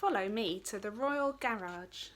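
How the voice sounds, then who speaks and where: Woman playing an eager, animated servant goose. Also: medium pitch, a little slow, natural voice. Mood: encouraging. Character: eager, boastful servant goose, royal and cheerful.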